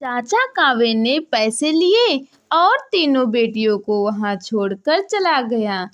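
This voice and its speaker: A woman tells a children's story as a scripted narrator. Her voice is fairly high and very animated in pitch, with slow, dramatic, expressive phrasing. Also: lo-fi sound.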